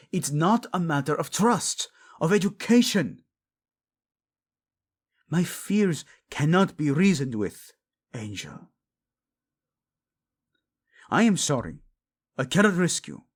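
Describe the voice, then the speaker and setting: Protective, lovelorn prince male actor: breathy and husky, very unhurried, intense and tender, romantic audio roleplay. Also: medium pitch, heavily acted. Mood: agitated, stern. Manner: intense, pleading, tender, often dropping to a whisper. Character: devoted, protective, guilt-ridden prince in love.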